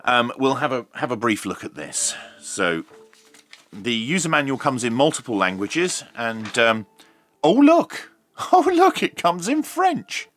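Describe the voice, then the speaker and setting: British man, lively swooping review delivery. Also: mid-range voice, a little slow, soft background music. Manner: jokey, with tongue-in-cheek asides. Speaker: host of a radio review channel. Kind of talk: unboxing review narration.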